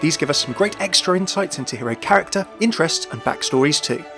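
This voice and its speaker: A man's voice: fairly high pitch, animated, fast talker, music in the background. A man hosting and narrating a scripted explainer in a video series.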